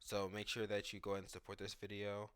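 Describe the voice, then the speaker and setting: Male gaming commentator, lowish and bright-edged voice, off-the-cuff and informal, reacting to footage as he thinks aloud. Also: monotone, fast, clear, resonant tone. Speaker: gaming YouTuber.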